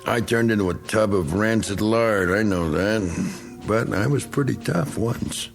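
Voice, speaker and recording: An actor playing a bitter, self-pitying middle-aged American war veteran in a radio drama, talking in a folksy, rambling, needling way. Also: male voice, fairly low pitch, a little slow, vocal fry, soft background music. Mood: nostalgic, self-pitying.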